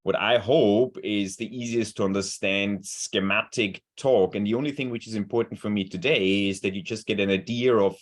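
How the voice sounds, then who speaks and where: A man with an Austrian accent and a German mother tongue speaks over a video call. His voice sits very low, and his talk is earnest, with frequent repeated words as he looks for the right phrasing. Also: steady tone, normal speed, hardly any pauses. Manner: earnest, repeats words while searching for them. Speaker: cancer researcher. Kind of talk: webinar talk.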